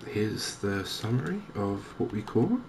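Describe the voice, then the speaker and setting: A man giving softly spoken ASMR commentary on a game, hushed, hesitant and unhurried, close to the microphone. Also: lowish voice, big pitch swings. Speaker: gaming video host. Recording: clear background noise.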